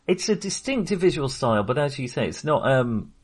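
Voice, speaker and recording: A man co-hosting a casual film podcast, with a slightly husky voice and lively pitch movement. He talks hesitantly and in a self-deprecating way, thinking aloud as he goes. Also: brisk.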